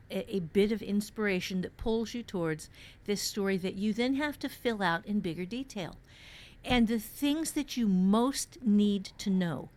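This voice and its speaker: Woman explaining things with playful emphasis. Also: mid-range voice. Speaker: writing podcast host and teacher. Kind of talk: casual advice talk on fiction writing.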